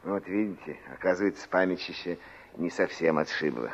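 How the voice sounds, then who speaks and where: A man's voice: low-pitched, a little fast. A man playing a middle-aged village barkeeper in a 1960s radio drama, chatty and courteous, musing and repeating words as he recalls. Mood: recollecting. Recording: dull, band-limited old radio recording.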